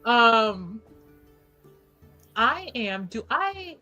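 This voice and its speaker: A woman's voice: on the high side, sing-song, drawn-out words, sharp tone, lo-fi sound, faint music behind. A woman chatting casually as a player in a tabletop roleplaying game, informal and thinking aloud, with the odd swear word.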